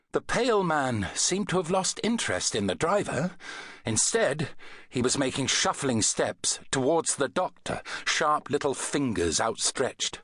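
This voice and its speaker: A man reading an adventure novel as an audiobook narrator, in an expressive, dramatic storytelling manner. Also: mid-range voice, dry recording, clean studio sound.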